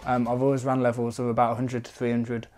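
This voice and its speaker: A man in his early twenties who works as a part-time lecturer, speaking in a measured, articulate way in a documentary interview. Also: medium pitch, completely flat delivery, a little mellow, faint music behind. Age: 20s.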